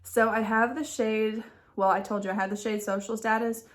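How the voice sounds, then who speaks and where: A woman's voice: fairly high pitch, moderate pace. A young woman with a stuffy nose from a head cold, chatting casually about beauty products from her couch at home. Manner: chatty and relaxed, with small laughs. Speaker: beauty vlogger. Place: living room couch at home. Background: dog making noise nearby.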